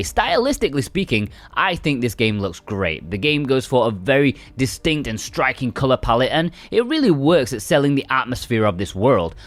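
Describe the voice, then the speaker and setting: Man reviewing games, lively dry-room narration. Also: mid-range voice, no room sound. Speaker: video game reviewer.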